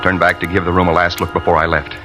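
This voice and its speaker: A man's voice: sits low, flat delivery, rapid-fire, natural voice. An actor playing a guilty, tense man on the run in a hard-boiled 1940s radio thriller, faltering when nervous. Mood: tense, haunted. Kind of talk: 1940s radio thriller acting, first-person narration. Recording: vintage radio with loud dramatic music.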